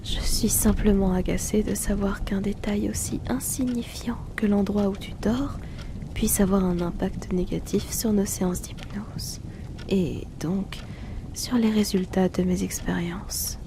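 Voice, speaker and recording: A woman voicing an aloof, wealthy hypnotist in a whispered ASMR roleplay, speaking softly and teasingly into a close mic. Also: mid-range voice, steady tone, noisy background. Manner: soft teasing whisper, unhurried.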